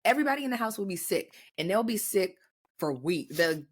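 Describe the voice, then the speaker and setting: Woman co-host, casual podcast chat, lively swooping voice, blunt and profane. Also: medium pitch, fairly quick, husky. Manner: animated, blunt, swears freely. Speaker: mother.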